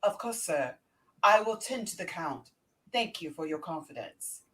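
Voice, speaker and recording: A woman's voice: fairly low pitch, sing-song, a little slow, a bit bright, distant mic, pitched below the performer's own voice. A woman voicing an earnest, polite young male clerk, reading a script in a formal, deferential manner. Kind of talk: amateur script read-through.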